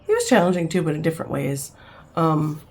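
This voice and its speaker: A woman's voice: low voice, wildly swooping pitch, fairly quick, a little mellow. A woman in her fifties, rambling and chatty, talking casually to camera at home, with frequent laughs and fillers. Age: 50s. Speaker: vlogger.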